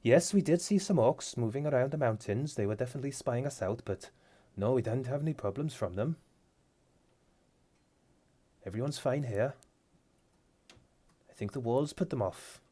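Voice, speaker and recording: A man's voice: mid-range voice, animated, normal speed, pauses often, dark tone, breathy edge, voice pushed up. A man voicing a cheerful male dwarf cousin in a tabletop game, in a fake Welsh accent. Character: male dwarf, jovial cousin reporting from a mine.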